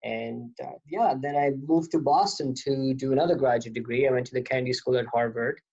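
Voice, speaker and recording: A man's voice: medium pitch, brisk, creaky, a little mellow, slightly rough. A man in his thirties from Pakistan, talking as an interview guest in a rambling, self-correcting way. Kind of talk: casual interview talk. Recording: dull lo-fi audio.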